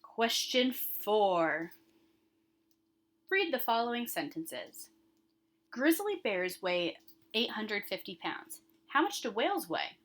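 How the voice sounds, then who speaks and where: A woman's voice: medium pitch, big pitch swings, takes it slowly, long pauses, a bit bright. A woman with a mid-range voice teaches young pupils. She reads questions and answer options aloud, stressing the important words. She talks straight to the children in an instructive way, guiding them through each item. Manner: instructive, addresses children directly, emphasizes key words. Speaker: elementary school teacher.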